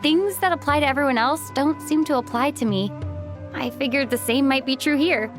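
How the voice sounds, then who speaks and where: A woman playing a thoughtful young female traveler in a fantasy game, speaking in an earnest, gentle, unhurried manner. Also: high voice, over music, close to an everyday voice. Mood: modest, grateful.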